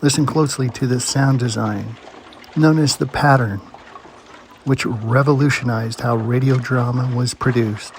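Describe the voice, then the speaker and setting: A man with a medium-pitched voice gives a scripted, presenter-style introduction. There is faint noise behind him. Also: steady tone, measured pace, poor audio. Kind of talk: scripted narration.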